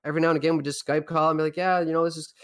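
A young man from Newfoundland, Canada, with a Newfoundland accent, co-hosting a casual podcast chat and telling rambling, animated stories. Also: fairly high pitch, racing, clear, resonant tone. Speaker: podcast co-host.

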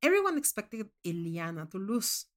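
A woman reading first-person fiction as a scripted narration, in a measured, expressive storytelling manner. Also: medium pitch. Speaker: short story reader.

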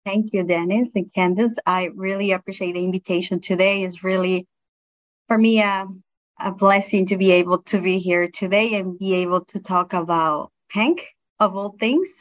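A woman's voice: medium pitch, steady tone, normal speed, muffled recording. A middle-aged woman with a non-native English accent, speaking earnestly and appreciatively as a guest in a reflective interview. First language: not English. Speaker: interview guest, produce industry science manager.

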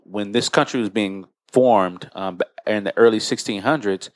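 An American man with a low voice talks as a podcast host. He explains and recounts in a conversational style, and the recording is dry and close. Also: moderate pace, no room sound. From United States.

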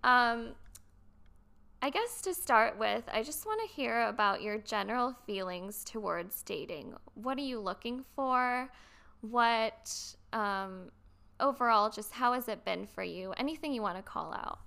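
A woman hosting a casual talk show, chatting with friends in a relaxed way with fillers. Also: a little high, a little slow, gravelly. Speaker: podcast host. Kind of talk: casual chat.

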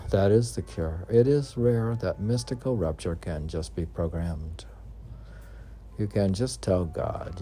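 A man's voice: low voice, gravelly, dark tone. A man reading his own mystical poems at home in a rambling, musing way, chuckling between lines. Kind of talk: meditative poetry recitation mixed with casual home chat. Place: home room with windows.

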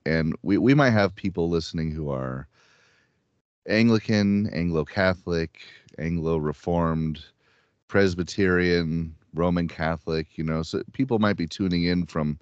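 A man's voice: sits low, measured pace, clear, resonant tone, dry recording, muffled recording. A man with a Midwestern accent, co-hosting a casual podcast discussion in a conversational way. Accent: Midwestern American. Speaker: podcast co-host.

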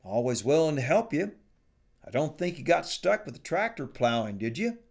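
A man puts on an eager older farmer father, hearty and excitable, with his pitch lifted above his usual voice. The voice keeps a gravelly edge. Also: moderate pace.

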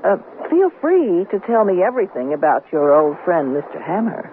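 A woman's voice: fairly low pitch, sing-song, normal speed. An actress playing a teasing, flirtatious single woman in an American radio comedy, with an arch, theatrical delivery. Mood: breezy, arch. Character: flirtatious, teasing, sharp-tongued single woman who owns a boat. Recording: muffled noisy off-air radio recording.

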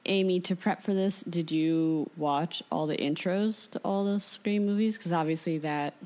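A woman's voice: lowish voice, moderate pace, a little mellow. A woman interviewer chatting casually and asking questions.

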